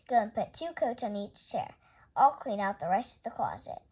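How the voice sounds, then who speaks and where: A woman's voice: mid-range voice, big pitch swings, normal speed, a little mellow, slightly rough. A woman voicing a cheerful, literal-minded woman babysitter in a children's storybook, matter-of-fact and emphatic. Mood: brisk.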